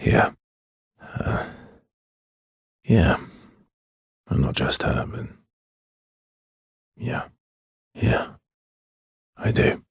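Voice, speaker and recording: An actor playing a world-weary, guarded swordsman in a video game, speaking in an understated, conversational way with a husky, gravelly voice and a dry, wry affection. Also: extremely low pitch, very animated, drawn-out words, pauses often, dry recording, full character voice. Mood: subdued, reflective. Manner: understated, hesitant, dryly teasing. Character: world-weary adult male expedition swordsman, guarded but wryly affectionate.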